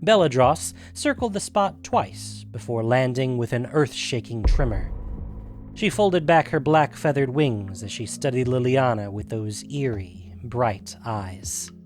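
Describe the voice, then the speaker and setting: Measured male fantasy story narrator. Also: medium pitch, lively intonation, faint music behind.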